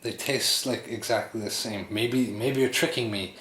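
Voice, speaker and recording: A man's voice: on the low side, moderate pace. A man in his thirties chatting casually with a friend, teasing and playfully arguing his point. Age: 30s. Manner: playful, argues in a teasing, joking way. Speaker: travel vlogger. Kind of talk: casual chat. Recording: echoey room with loud background noise.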